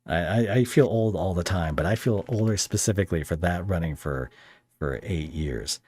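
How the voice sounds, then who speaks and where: Low-voiced man chatting casually. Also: normal speed, darkish tone, slightly rough, no room sound. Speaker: podcast host interviewing a guest. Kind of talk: casual pop culture chat.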